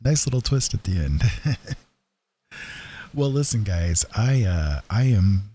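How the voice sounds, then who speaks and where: A tired man hosts a casual livestream in a very low, husky voice. The tone is mellow and dark, and he rambles appreciatively with plenty of pauses. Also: sing-song. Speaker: livestream host, digital painter.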